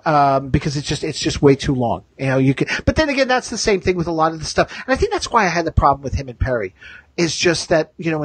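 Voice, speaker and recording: Male podcast host, higher-pitched and lively, emphatic, opinionated, conversational. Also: slightly rough, no room sound. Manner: emphatic, halting with repeated phrases. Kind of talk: casual, opinionated fan discussion.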